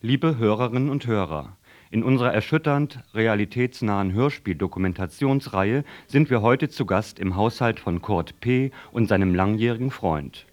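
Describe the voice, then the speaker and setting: A man's voice: on the low side, expressive, moderate pace, natural voice. A man acting as a mock-serious documentary reporter, giving solemn scripted narration for a comic radio play.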